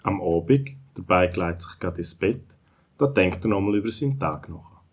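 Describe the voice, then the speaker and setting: A man's voice: deep voice, animated, normal speed, husky. A man with a Swiss German accent narrating a scripted children's story.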